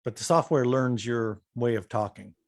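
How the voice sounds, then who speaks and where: A man's voice: medium pitch, moderate pace, no echo, clean studio sound. A man in a casual panel chat, explaining things in an easygoing way.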